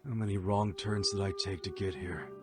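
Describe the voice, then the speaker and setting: A male actor voicing a weary, gentle, remorseful dog ex-convict in a video game, subdued and resigned. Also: sits low, steady tone, brisk, natural voice. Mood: remorseful, defeated. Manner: resigned, subdued, brooding delivery. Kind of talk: English video game voice acting. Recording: loud background music.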